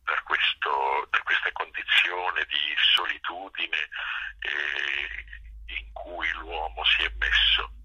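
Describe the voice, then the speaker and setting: An older man with an extremely deep, rough-edged voice reflects in an interview. He takes his time, pausing often and repeating words as he searches for the next phrase. The sound is husky and unhurried. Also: level tone, sharp tone, poor audio. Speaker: theatre actor and director being interviewed. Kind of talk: reflective spoken interview answers.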